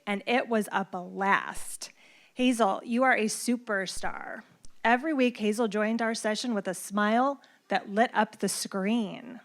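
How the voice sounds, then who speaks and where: A woman speech clinician reads a written tribute aloud to the audience. Her voice sits at an ordinary middle pitch. She sounds encouraging and full of praise.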